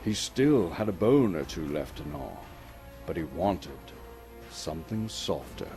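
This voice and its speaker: A man's voice: low-pitched, big pitch swings, measured pace, warm tone, soft background music. A man from Canada gives a dramatic narration of a storybook, theatrical and suspenseful, lingering on key words. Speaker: actor reading as narrator.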